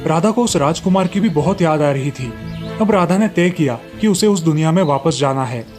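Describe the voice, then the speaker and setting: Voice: medium pitch, expressive, moderate pace, darkish tone, soft background music. A narrator reading a scripted moral story in storybook style.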